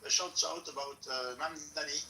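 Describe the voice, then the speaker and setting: A man from France with a French accent, speaking English as a second language and searching haltingly for his words in a casual political chat. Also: on the high side, steady tone, creaky, pushed and bright, roomy sound, recorded from a distance, low-quality recording. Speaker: guest speaker in a live audio room.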